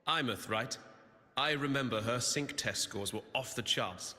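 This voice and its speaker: A male academy observer in a game, impressed and matter-of-fact. His voice is mid-pitched and bright, set in long hall reverb. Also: a little slow. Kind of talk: video game side-character acting.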